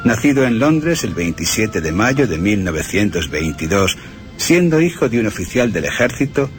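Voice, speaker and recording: Deep-voiced man narrating with wide intonation. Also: sing-song, normal speed, soft background music. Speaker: radio presenter. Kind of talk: scripted film-history narration with dramatic readings.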